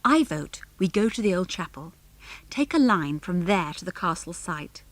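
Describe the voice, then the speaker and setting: A woman voicing a sensible boy who leads a band of child adventurers in a children's audiobook, brisk and decisive. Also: on the low side, sing-song, dry recording. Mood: eager planning.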